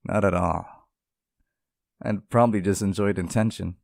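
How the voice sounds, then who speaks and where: A man's voice: low voice, steady tone, moderate pace, vocal fry, a little mellow, dry recording, clean studio sound. A man acting out a devoted, possessive demon in an intimate ASMR roleplay, sweet and tender with an undercurrent of menace. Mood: shy, tender.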